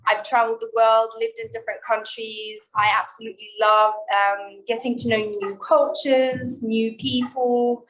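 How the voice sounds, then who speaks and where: A woman's voice: on the high side, level tone, normal speed, hardly any pauses, a bit bright, low-quality recording. A woman from Norway, a singer and entrepreneur, speaking earnestly in a video-call interview with frequent ums and restarts.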